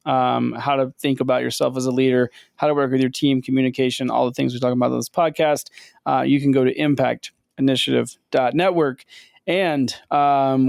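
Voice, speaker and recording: A man's voice: medium pitch, vocal fry, darkish tone, smooth, no echo, studio quality. A man co-hosting a business leadership podcast, talking casually and upbeat with lots of fillers. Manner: upbeat, filler-heavy promoting. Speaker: podcast co-host.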